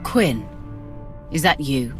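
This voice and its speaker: A woman's voice: extremely low pitch, animated, slow, full character voice. An actress voicing a firm, composed and authoritative female commander in a video game. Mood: relieved. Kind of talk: video game cutscene acting. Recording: game music under the voice.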